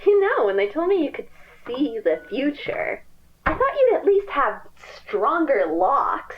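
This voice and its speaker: A woman playing a taunting hired hunter in an audio horror drama, with a theatrical, drawn-out delivery. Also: high-pitched, very animated, muffled recording, slight background noise. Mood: mocking menace. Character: taunting female hired hunter stalking hidden prey.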